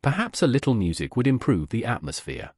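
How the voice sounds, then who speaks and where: A man's voice: medium pitch, wildly swooping pitch, a little fast, a little mellow, breathy edge, dry recording, studio quality. A man voicing a spiteful young Regency society lady in an audiobook, arch, formal and mocking.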